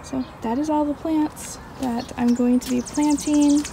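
Young woman, casual vlog narration, slightly high pitch, mellow tone, some background noise. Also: fairly even intonation, measured pace, creaky, poor audio. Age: young adult. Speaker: homemaking vlogger.

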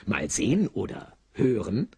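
A man narrating a scripted story in a colloquial, wry and animated way, with a husky voice. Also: fairly low pitch, unhurried, background noise.

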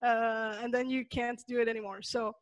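A young woman from Peru, a Spanish native speaker with a Peruvian accent, gives an online lecture over slides, pausing often with fillers and restarts. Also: a little high, little pitch movement, no room sound. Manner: thoughtful, many fillers and restarts. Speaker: webinar presenter.

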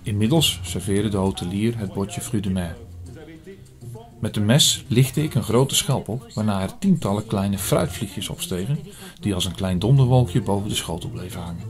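A man playing an earnest priest in an audio drama, telling his story in a measured, formal way. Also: on the low side, natural voice. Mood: reflective narration. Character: earnest male priest investigating an apocalyptic prophecy. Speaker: narrator and lead actor. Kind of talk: scripted audio drama, first-person narration. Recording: music under the voice.

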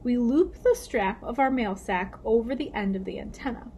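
A woman's voice: fairly high pitch, animated, moderate pace, a little mellow, lightly acted. A woman voicing a patient, protective father in a children's story, with faint noise in the recording. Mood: patient explaining. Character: fatherly man, patient explainer, protective and anxious.

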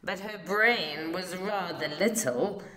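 A woman reading a children's story aloud with expressive, dramatic emphasis and deliberate phrasing. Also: lowish voice, crowd noise. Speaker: storybook reader. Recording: roomy, echoing home recording.

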